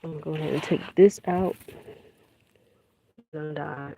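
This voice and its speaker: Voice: medium pitch, steady tone, normal speed, creaky, mellow. An adult teacher of young children chatting casually on a phone livestream while working in a backyard garden, rambling and restarting sentences. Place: outdoor yard garden. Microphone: handheld phone livestream. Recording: outdoor noise from a running generator. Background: generator hum and vehicle noise.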